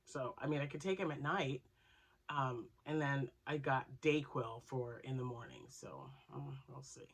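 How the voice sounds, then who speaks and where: A woman's voice: extremely low pitch, lively intonation, a little slow, slightly rough. A woman hosting her crochet channel, chatting casually and rambling with asides, still nasal from a cold. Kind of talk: casual chat to camera.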